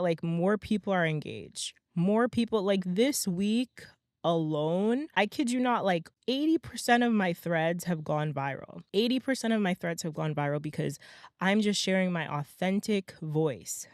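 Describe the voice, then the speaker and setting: Slightly hoarse woman hosting a chatty podcast. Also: lowish voice, measured pace, no echo, clean studio sound. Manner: chatty, emphatic, peppers talk with "right?" and "okay?". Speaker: podcast host. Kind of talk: casual motivational podcast monologue.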